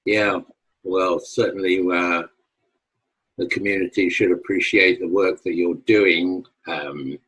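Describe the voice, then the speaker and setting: A man's voice: deep voice, steady tone, slow, fairly bright tone, husky, rough recording. A man interviewing politely, hesitating often with filler sounds. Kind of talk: casual interview.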